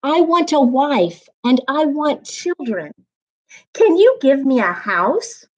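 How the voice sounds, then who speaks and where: Woman voicing a plaintive storybook boy, slow. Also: sits high, big pitch swings, lo-fi sound, voice pushed up, full character voice. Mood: wanting. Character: wanting boy who grows into a weary old man.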